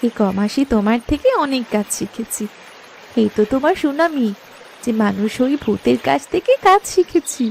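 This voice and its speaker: Woman playing a kind young wife in a cartoon, really high lively voice, always coaxing, bit of noise. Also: normal speed, vocal fry. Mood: gentle. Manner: pleading, coaxing. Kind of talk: Bengali cartoon story voice acting.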